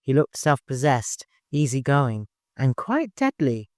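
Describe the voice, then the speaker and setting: A man's voice: medium pitch, huge pitch swings, measured pace, a little mellow, dry recording, studio quality. A man reading scripted literary narration as an audiobook narrator.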